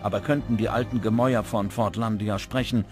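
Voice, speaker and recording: A man's voice: fairly low pitch, steady tone, brisk. Male documentary narrator over prominent music. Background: background music.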